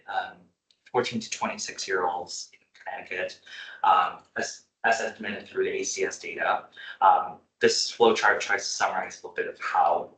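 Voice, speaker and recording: A man's voice: sits low, sharp tone. A man walking a meeting through research methods, methodical and full of fillers, recorded from across an echoey room. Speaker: research presenter. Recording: echoey room, mic far off.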